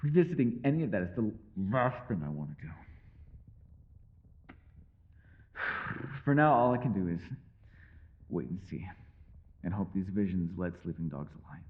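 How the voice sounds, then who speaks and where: A man's voice: low voice, lively intonation, a little fast, phone-quality sound. A man playing a mysterious, learned elf in a fantasy ASMR roleplay, talking to the listener in a bookish, rambling and playfully formal way. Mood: worried, secretive. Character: mysterious, learned male elf, talkative and gently teasing.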